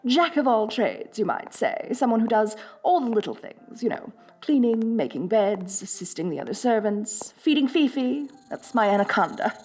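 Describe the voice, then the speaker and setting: Woman playing a haughty lamia noblewoman, swooping, breathy delivery. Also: on the high side, big pitch swings, normal speed, hardly any pauses, darkish tone. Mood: condescending, bossy. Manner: haughty, condescending, drawling with affected elegance. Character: haughty, rich, bossy female lamia noble, snake-woman mistress. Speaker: wealthy mistress addressing a new servant. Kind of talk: roleplay audio drama, ASMR.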